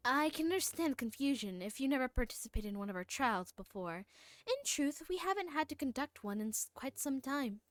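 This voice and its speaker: A woman voicing a formal, earnest female knight commander from a fantasy game, reading the lines aloud a little haltingly. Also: high-pitched, animated. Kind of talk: live read-along of game dialogue.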